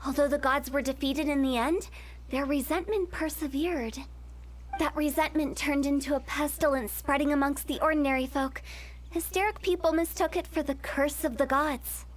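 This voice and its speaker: A woman's voice: high voice, fairly even intonation, normal speed, slight background noise, lightly acted. A woman voicing a playful young female funeral parlor director in a video game, breezy and teasing as she explains things. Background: game music.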